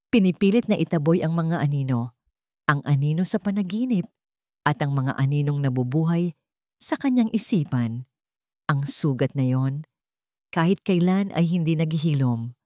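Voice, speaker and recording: A woman narrating scripted dramatic fiction in a measured, suspenseful way, with deliberate pauses. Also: sits low, a little mellow, no echo, studio quality. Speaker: story narrator.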